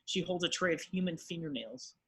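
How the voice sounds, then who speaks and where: A man with a husky voice reading stage directions as the narrator of a script table read. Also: a little high, lively intonation, fairly quick.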